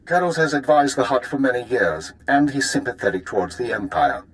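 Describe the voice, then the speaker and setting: An actor playing a cool, commanding intelligence spymaster in a video game, speaking formally and precisely with authority. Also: male voice, mid-range voice, animated, fairly quick, natural voice, rough recording. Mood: calculating, satisfied. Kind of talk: video game cutscene acting.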